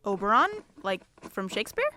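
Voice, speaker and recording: Woman as a wry detective, lively clear voice. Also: medium pitch, fairly quick. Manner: dry, sarcastic, probing. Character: wry, weary female police detective questioning a suspect. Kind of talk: audio drama acting.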